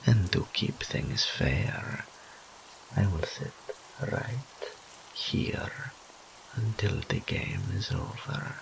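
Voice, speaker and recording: A man voicing a seductive, arrogant incubus demon in an intimate roleplay audio drama, breathy and often whispering, with teasing pauses. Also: on the low side, big pitch swings, noisy background, full character voice. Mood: teasing, coaxing. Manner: slow, teasing, drawn-out phrases with pauses.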